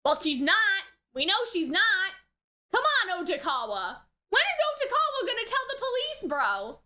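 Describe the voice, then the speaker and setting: Very high, bright, animated woman reacting. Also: normal speed. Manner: exclamatory, slangy, thinking aloud. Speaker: reaction video host. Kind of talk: casual live reaction commentary.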